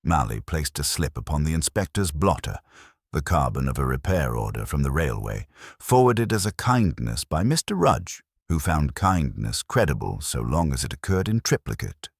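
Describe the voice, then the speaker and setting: A man narrating a literary detective story in a measured, dry and formal manner, as for an audiobook. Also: extremely low pitch, very animated, gravelly, breathy edge, no room sound.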